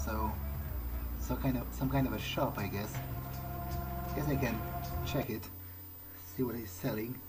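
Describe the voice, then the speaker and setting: A man's voice: mid-range voice, background noise. A man improvising in character as he plays a video game, speaking in a hesitant, musing, understated way. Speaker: let's player.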